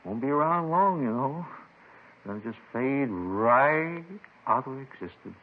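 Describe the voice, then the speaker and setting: An actor playing a rambling, eccentric robbery suspect with a querulous, folksy American delivery in a 1950s radio drama. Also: male voice, medium pitch, animated, unhurried. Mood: grumbling, resigned. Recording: tinny, noisy 1950s radio recording.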